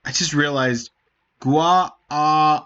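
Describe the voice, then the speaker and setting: A man's voice: fairly high pitch, slow pace, sharp tone, clear, resonant tone. A man streaming a game, giving casual commentary in slightly dull audio.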